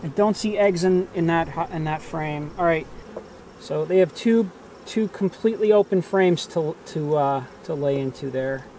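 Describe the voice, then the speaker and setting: High-voiced man vlogging outdoors. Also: normal speed, warm tone, a little hiss or hum. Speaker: beekeeper vlogger. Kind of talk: casual narrated hive inspection. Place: outdoors in a grassy bee yard. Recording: outdoor ambience with buzzing insects. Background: buzzing bees and insects.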